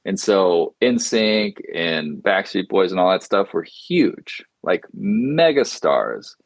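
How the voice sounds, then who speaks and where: A man's voice: deep voice, big pitch swings, takes it slowly, dry recording. A man in his forties who grew up abroad, telling long stories as an interview guest, rambling with many fillers and restarts. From grew up in Indonesia. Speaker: guest musician. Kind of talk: casual interview storytelling.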